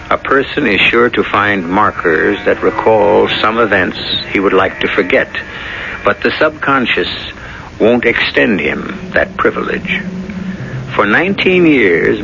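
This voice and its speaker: Low, gravelly male radio narrator. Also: noisy background. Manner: solemn, measured, literary. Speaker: host and narrator. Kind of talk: scripted radio drama narration. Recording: muffled 1970s radio, music underneath. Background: music.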